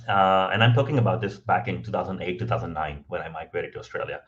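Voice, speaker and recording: A man speaking English as a second language, guesting on a podcast and telling his story in a relaxed, conversational way, with many ums and "right?" tags. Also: deep voice, fast, lo-fi sound. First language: not English. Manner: frequent ums and restarts, tag questions of "right?".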